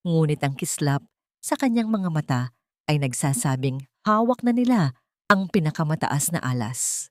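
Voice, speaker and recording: A woman's voice: sits low, expressive, moderate pace, no echo, studio quality. A woman reading a dramatic story aloud as a scripted audiobook narration.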